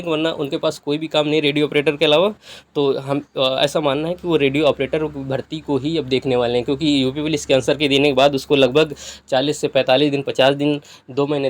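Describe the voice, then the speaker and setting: A man speaks in a slightly high voice. He explains things step by step in a casual, instructive way, like an online guidance creator addressing viewers. He keeps adding short tags to check that listeners are following. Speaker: YouTube exam-guidance creator.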